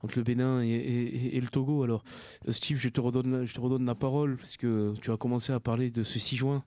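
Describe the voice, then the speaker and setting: A man's voice: mid-range voice, flat delivery, fast talker, warm tone. A man hosting a radio interview, prompting his guest and affirming him often. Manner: encouraging, frequent affirming backchannels. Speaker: radio host.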